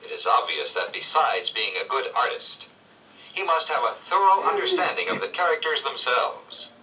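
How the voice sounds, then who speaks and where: A man reading scripted 1970s educational narration with measured, clear storytelling phrasing. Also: medium pitch, sing-song, pushed and bright, distant mic, low-quality recording. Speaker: read-along narrator. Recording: old read-along played back from afar, faint noise.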